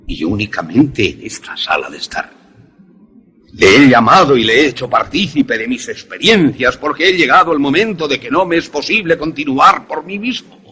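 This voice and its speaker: A man playing an obsessed, grieving widowed professor in a suspense radio drama, with a husky voice and formal, measured phrasing. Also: medium pitch, bright, forceful tone, exaggerated voice. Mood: grave, persuasive.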